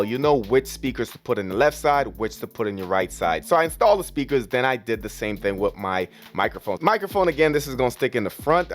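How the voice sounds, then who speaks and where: A man giving a casual, informal voice-over as a vlogger, walking step by step through a product unboxing and setup. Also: mid-range voice.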